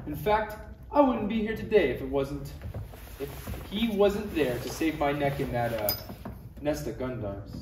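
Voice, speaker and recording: A man playing a calm, wry older Jedi master in a parody table read, with dry teasing delivery. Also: fairly high pitch, unhurried. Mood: wry, friendly. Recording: echoey, noisy room recorded from far off.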